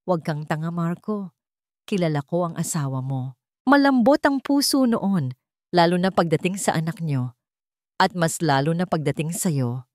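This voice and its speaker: A woman's voice: fairly low pitch, lively intonation, moderate pace, darkish tone, dry recording, natural voice. Scheming older matriarch, played by a woman narrator, audiobook melodrama: commanding, contemptuous, emphatic, dry close sound. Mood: stern, contemptuous.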